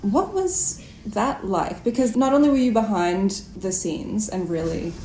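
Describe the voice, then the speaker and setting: A woman in her twenties hosting a conversational interview in a chatty, playful way with expressive phrasing. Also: mid-range voice, a little slow. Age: 20s. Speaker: podcast host and interviewer. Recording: echoey room, faint background noise.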